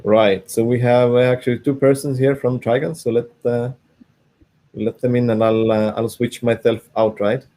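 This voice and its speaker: A man organizing an online event, talking over a video call in a halting way, full of "I mean" and "uh". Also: medium pitch, little pitch movement, warm tone. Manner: halting, heavy "I mean" and "uh" fillers. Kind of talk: casual spoken chat.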